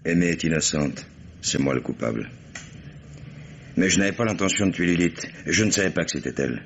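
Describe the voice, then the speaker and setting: A man's voice: sits low, animated, brisk, creaky. A man playing a remorseful, jealous husband confessing in grave, formal French radio drama style. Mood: grave confession. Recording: dull, noisy old radio recording.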